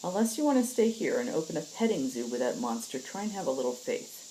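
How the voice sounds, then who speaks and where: A woman's voice: low voice, very animated, fast talker, dark tone, a little hiss or hum, poor audio. A woman reading the part of a terse, commanding female squad leader in a space opera story, dry and plain-spoken, insects faintly behind. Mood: wry.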